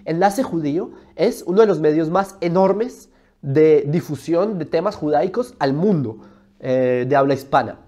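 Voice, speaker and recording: A man's voice: fairly high pitch, lively intonation, a little slow, mellow. A man, arguing his point in a video monologue, emphatic and full of rhetorical questions, recorded on a lapel mic in a studio. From Colombia. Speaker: religion video host. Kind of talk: argumentative explainer monologue.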